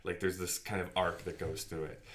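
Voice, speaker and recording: A man's voice: low-pitched, flat delivery, fairly quick, fairly bright tone. A man co-hosting a podcast in casual conversation, musing aloud informally and often rewording himself mid-thought. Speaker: podcast co-host.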